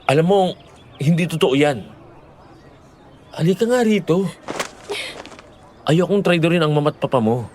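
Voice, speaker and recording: A man's voice: fairly high pitch, expressive, a little slow, long pauses. A man playing a responsible young boyfriend in an audio drama, earnest, patient and placating, with a Filipino accent. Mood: earnest pleading. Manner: earnest, pleading, gentle.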